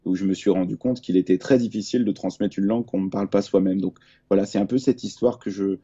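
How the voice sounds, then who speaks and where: A man in his forties, born in Lebanon, speaking as an author and guest on a panel over a video call in a calm, reflective way. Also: low-pitched, quick pace, breathy edge, highs cut off. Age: 40s.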